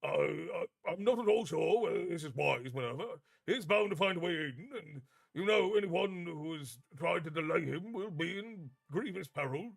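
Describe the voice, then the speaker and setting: A man's voice: a little high, animated, normal speed, gravelly, husky, voice pushed up, full character voice. Man doing a puffing, stammering old professor. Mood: flustered. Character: pompous plump old male professor, flustered.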